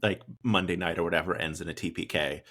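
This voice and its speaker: A man hosting a casual interview, rambling and halting with lots of filler words. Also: deep voice, steady tone, pushed and bright.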